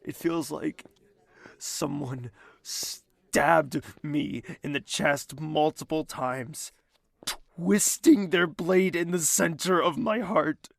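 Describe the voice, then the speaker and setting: An actor playing a proud, wounded young male royal with a fierce temper in an audio drama, speaking in an intense, imperious and deliberate way. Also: a little high, gravelly, dry recording, clean studio sound, heavily acted. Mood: pained, brooding. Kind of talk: dramatic audio drama acting with narrated inner thoughts.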